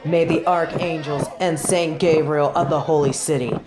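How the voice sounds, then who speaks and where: Low-voiced haughty young female judge, acted by a woman. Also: fairly even intonation, normal speed, very noisy, natural voice. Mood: scornful, commanding. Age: young adult. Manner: imperious, formal, theatrical declamation. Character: haughty young female tribunal judge, stern, sardonic, witchy. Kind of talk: dramatic audio roleplay acting.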